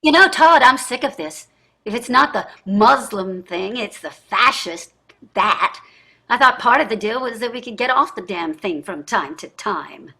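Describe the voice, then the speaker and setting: Woman playing a bright, husky, sardonic society wife. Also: fairly high pitch, expressive, unhurried, heavily acted. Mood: exasperated. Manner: theatrical, sardonic complaining. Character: exasperated, wistful wealthy wife in her sixties. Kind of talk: staged play reading over video.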